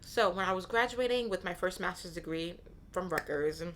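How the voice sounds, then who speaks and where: A woman's voice: on the low side, normal speed, a bit bright, a little hiss or hum. A woman in her thirties, born in Nigeria and raised in Brooklyn, hosting her own podcast in a candid, casual way, often repeating herself and adding "right?". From born in Nigeria, raised in Brooklyn, New York. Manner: candid, repeats phrases, frequent "right?" asides. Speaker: podcast host. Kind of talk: casual solo podcast talk.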